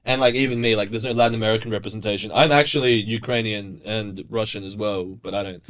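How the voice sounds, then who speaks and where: A man's voice: on the low side, fairly even intonation, fast talker, fairly bright tone. A man hosting a podcast, asking curious, probing questions in a casual chat and laughing easily. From Argentina. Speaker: podcast host.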